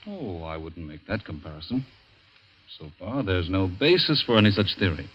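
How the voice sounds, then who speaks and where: An actor playing a calm middle-aged family doctor in 1940s radio drama, measured, reassuring and gently probing. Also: male voice, low voice, husky, heavily acted. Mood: courteous, measured. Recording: dull vintage radio recording.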